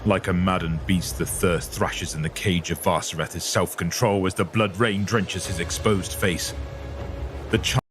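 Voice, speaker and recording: A man reading dramatic scripted narration for a dark audio story. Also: deep voice, steady tone, unhurried. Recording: narration over loud music.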